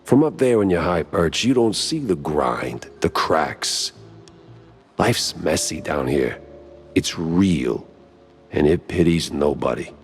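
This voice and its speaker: A man with an American accent voicing a world-weary, stubborn mouse private eye in a cartoon noir game, gruff and sardonic. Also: big pitch swings, takes it slowly, faint music behind, heavily acted. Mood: weary, cynical. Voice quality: deep, husky.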